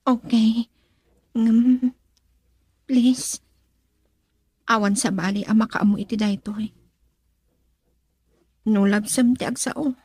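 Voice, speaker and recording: A female actor with a Filipino accent and a breathy voice, playing a guarded, dutiful young woman in a romantic radio drama. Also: fairly high pitch, fairly even intonation, slow, long pauses, darkish tone, no room sound. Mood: torn, reserved.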